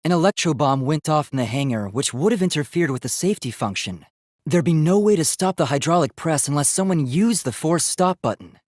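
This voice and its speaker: A polite young male robot from a video game, speaks in a fairly high voice with very animated intonation. The delivery is formal, articulate and earnestly logical. The sound is dry, with no room on it. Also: a little fast, no echo. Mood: certain, reasoning. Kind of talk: English video game voice acting.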